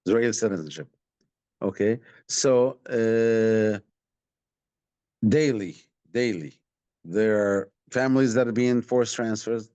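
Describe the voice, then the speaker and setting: Halting Palestinian man on a dull stream. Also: on the low side, a little mellow, clear, resonant tone, no room sound. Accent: Palestinian Arabic. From Palestinian West Bank. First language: Arabic. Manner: halting, repeats words and phrases. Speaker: activist, director of a community rights organisation. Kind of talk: live online talk answering audience questions. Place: online video call. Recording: dull, lo-fi online stream sound.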